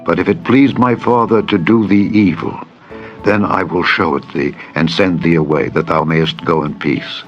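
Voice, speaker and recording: A man voicing a loyal, solemn prince and devoted friend in a dramatized scripture reading, with measured archaic oaths. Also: low voice, close to an everyday voice. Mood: solemn vow. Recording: dull lo-fi audio with music. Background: background music.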